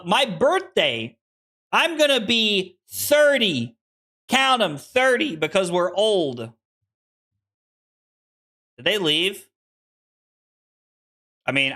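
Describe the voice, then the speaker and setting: A man's voice: on the high side, pushed and bright. A man in his late twenties, a card game streamer, chatting casually with viewers while he plays and rambling with lots of restarts. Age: 20s. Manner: rambling and self-deprecating, frequent restarts.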